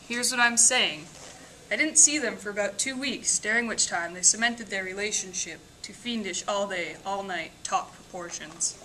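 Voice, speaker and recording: A woman, a student, giving an expressive amateur dramatic reading of a novel that builds to emphatic passages. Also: medium pitch, slight background noise, poor audio. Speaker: student reader.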